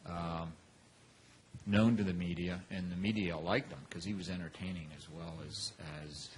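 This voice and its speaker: A man's voice: deep voice, fairly even intonation, moderate pace, a little hiss or hum. A man on a panel speaking thoughtfully, with some fillers.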